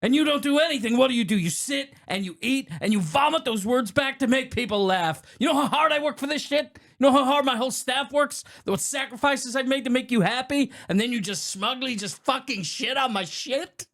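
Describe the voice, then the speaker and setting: A man playing a furious, wounded chef in a movie-style rant, shouting and swearing indignantly. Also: very high-pitched, very slow, long pauses, voice pushed up, heavily acted. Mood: furious, hurt. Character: furious wounded male chef ranting at a critic.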